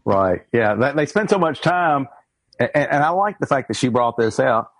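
A man with a husky voice talks in a halting way, stumbling over repeated words and restarting sentences as he joins a book club chat. His sound is dry and close, with no room echo. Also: mid-range voice, animated, fairly bright tone. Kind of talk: casual group discussion.

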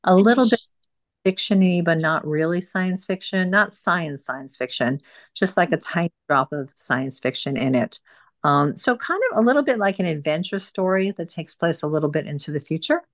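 A woman hosting an informal book group discussion, speaking haltingly and often repeating her phrases. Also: fairly low pitch, lively intonation.

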